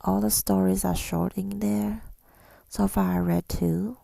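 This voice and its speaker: A woman speaking in a soft, breathy whisper in an ASMR style, reflective and hesitant, pausing often, close to the microphone. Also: mid-range voice, flat delivery, no room sound. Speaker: ASMR creator. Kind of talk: ASMR, rambling personal reflection.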